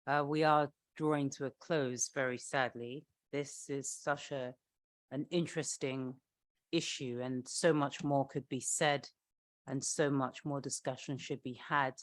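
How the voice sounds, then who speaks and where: A woman moderating a formal event, making introductions in a polite, somewhat halting way. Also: extremely low pitch, fairly even intonation, dry recording.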